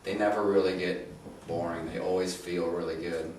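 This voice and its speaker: A man in his thirties chats easily in an interview, speaking in a fairly low voice with pauses and sentences that drift off. He sounds a little distant from the mic, in a very echoey room with a steady hiss of noise behind him. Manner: laid-back, halting, trails off. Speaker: band member guest. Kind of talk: casual interview chat.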